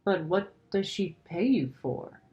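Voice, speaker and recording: A woman voicing a kind, innocent little girl from a children's storybook, speaking politely and sincerely with gentle insistence. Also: fairly low pitch, lively intonation, unhurried, lo-fi sound, voice pushed up. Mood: curious, alarmed. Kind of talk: children's storybook character reading.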